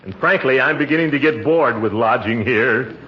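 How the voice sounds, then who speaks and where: An actor playing a pompous, excitable middle-aged uncle in a 1940s radio comedy, blustering and exclaiming. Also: male voice, mid-range voice, normal speed, a crowd in the background, close to an everyday voice. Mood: exasperated. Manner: blustery, exclaiming, chuckling and groaning. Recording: noisy, dull, echoey old radio recording.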